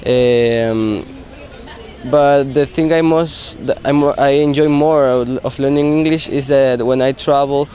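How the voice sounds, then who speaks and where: A young man with a mid-pitched voice whose first language is Spanish. He talks steadily, dropping many "uh" fillers between his points, like a student in a class video. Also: fairly even intonation, unhurried, a little mellow.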